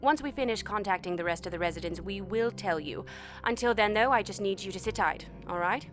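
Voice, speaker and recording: Female actor as evasive quarantine official, measured, businesslike, naturalistic audio drama, faint music behind. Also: mid-range voice, lightly acted. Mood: official, restrained.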